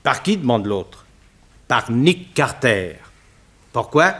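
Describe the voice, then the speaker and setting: A man's voice: mid-range voice, sing-song, takes it slowly, a bit bright, heavily acted. An actor playing a mocking gangster henchman, slangy and taunting, in a 1960s French radio serial. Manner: taunting, slangy, servile to his boss.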